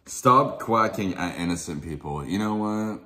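A man's voice: lively intonation, measured pace, bright, forceful tone. A young man streaming from his living room, chatting casually and teasing his co-host. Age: young adult. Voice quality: deep voice. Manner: teasing, playful, says "dude" often. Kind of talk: casual chat.

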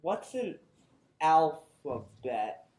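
A man's voice: on the high side, sing-song, very slow, darkish tone, a little hiss or hum, heavily acted. A young man playing a simple, suspicious, literal-minded villager in a comic stage play, blunt and rustic in his phrasing. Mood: baffled. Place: theatre stage, indoors. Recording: roomy, echoey stage recording.

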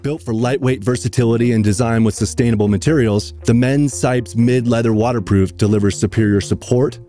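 A man reading a steady, informational product description voice-over. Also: medium pitch, brisk, faint music behind.

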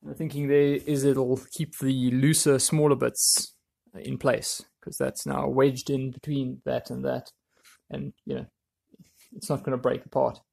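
A man presenting a hands-on how-to in a casual, offhand way, thinking aloud as he works, with a husky, creaky voice. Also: medium pitch, flat delivery, a little slow, dark tone. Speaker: DIY video presenter.

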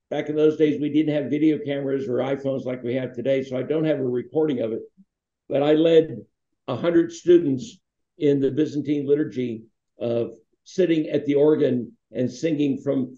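An older man, founder of a foundation, telling relaxed, rambling stories with fillers over a video call from his home. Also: medium pitch, moderate pace. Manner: rambling, anecdotal, with many fillers. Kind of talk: relaxed storytelling. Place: home room with wall hanging. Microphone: video call webcam. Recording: roomy, slightly dull webcam audio.

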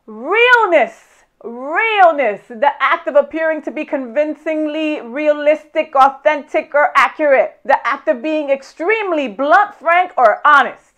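A woman's voice: sits high, lively intonation, a little slow, fairly bright tone, highs cut off. A woman in her thirties hosting a web show from a small studio set, reading out definitions and giggling with her guest. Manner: upbeat, giggly, reads definitions aloud. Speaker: host. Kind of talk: hosted web show segment.

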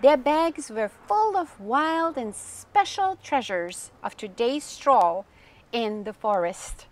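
An older woman reading a children's story aloud as a storytime host, in a gentle, expressive manner that addresses young listeners. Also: fairly high pitch, takes it slowly. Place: outdoors on a garden bench.

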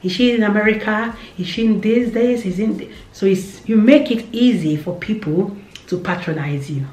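A woman in her thirties with a Nigerian accent, chatting casually to camera about business, animated and repeating herself for emphasis. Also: mid-range voice, moderate pace. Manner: animated, repeats phrases, gestures a lot with hands. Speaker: vlogger. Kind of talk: casual business advice chat. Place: indoor room with shelves. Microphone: camera in front, seated. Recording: roomy echo.

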